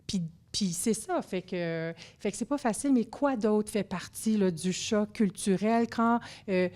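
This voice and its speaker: A woman's voice: mid-range voice, measured pace, a little mellow. A woman hosting a conversational interview, asking long questions full of fillers. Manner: chatty, thinks aloud with many fillers. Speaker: host.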